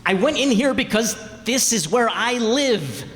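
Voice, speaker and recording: A man's voice: very high-pitched, expressive, unhurried, a bit bright, full character voice. A man acting as a desperate, defiant cornered suspect in an old-time radio mystery, agitated and defensive. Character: desperate, defiant cornered male murder suspect, broke and indignant. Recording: echoey hall stage recording.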